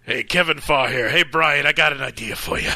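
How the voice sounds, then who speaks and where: A man's voice: fairly high pitch, fairly quick, sharp tone, no echo. A man co-hosting a comedy podcast, reading a fan letter aloud in a giggly, theatrical way. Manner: giggly, theatrical, swooping delivery. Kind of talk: comic reading with put-on characters.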